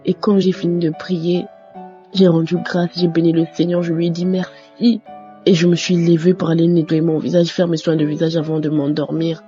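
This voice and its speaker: A woman's voice: on the low side, flat delivery, moderate pace, warm tone. A woman from Congo-Brazzaville with a Congolese accent telling her religious testimony, repeating phrases for emphasis. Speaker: testimony giver. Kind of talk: religious testimony, personal storytelling. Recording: muffled lo-fi audio over music. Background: background music.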